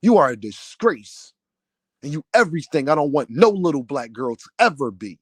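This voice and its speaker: Loud, heated man ranting with a deep voice. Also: very animated, moderate pace, no echo. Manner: loud, heated, preaching cadence. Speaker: vlogger, channel owner. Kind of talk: passionate rant to camera.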